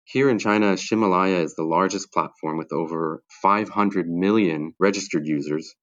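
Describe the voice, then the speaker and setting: A man's voice: low voice, moderate pace, muffled recording. A man co-hosting a podcast, reading prepared figures and questions. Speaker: podcast co-host, technology lawyer.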